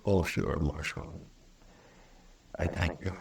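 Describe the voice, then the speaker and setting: Western townsman, American, low voice, folksy and stubborn, vintage radio style, distant roomy sound. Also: fairly even intonation, slow, vocal fry, exaggerated voice. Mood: remorseful, hesitant. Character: stubborn, plain-spoken frontier townsman with an uneasy conscience. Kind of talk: old-time radio western acting.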